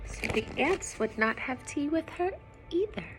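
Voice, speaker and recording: A woman reading a children's storybook aloud expressively, pausing to explain simply and ask young listeners questions. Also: sits high, lively intonation, over music.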